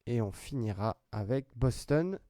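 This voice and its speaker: A man's voice: mid-range voice, lively intonation, measured pace, mellow, no echo. A man hosting a trading card break, chatting casually and announcing each player pulled as he opens packs. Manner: chatty, announces player names one by one, fillers and restarts. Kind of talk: live commentary while opening card packs.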